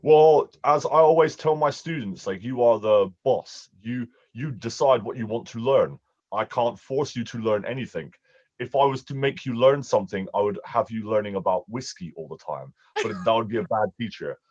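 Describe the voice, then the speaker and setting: A man's voice: mid-range voice, moderate pace, darkish tone, no room sound. Chatty London man on a dull call line. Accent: London English. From England. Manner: chatty, laughs often, restarts a lot. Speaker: online English teacher. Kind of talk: casual one-on-one conversation lesson. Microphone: video call.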